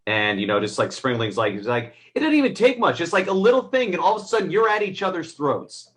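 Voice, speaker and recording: A man's voice: fairly high pitch, big pitch swings, fast talker, a bit bright. A man chatting casually as co-host of a film review discussion.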